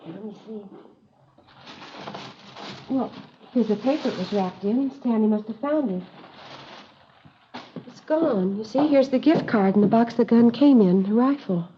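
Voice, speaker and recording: An actress playing a troubled mother in a vintage radio drama, speaking in a halting, subdued way. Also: on the high side, animated. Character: troubled mother searching her home. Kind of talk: 1950s radio acting. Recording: muffled, noisy vintage radio recording.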